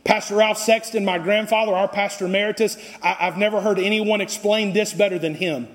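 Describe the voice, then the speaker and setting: High-voiced preacher man going hard at the pulpit, big church reverb ringing out. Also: fairly even intonation, fairly quick. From Costa Rica. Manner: impassioned preaching, swinging from low and drawn out to loud cries. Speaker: pastor. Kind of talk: sermon. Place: church sanctuary. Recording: long reverb of a church sanctuary.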